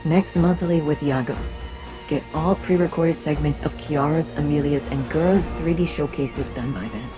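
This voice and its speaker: A woman playing a cold, domineering executive in an audio drama, curt, measured and condescending. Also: low voice. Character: cold, domineering corporate executive, sly and menacing. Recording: music under the voice.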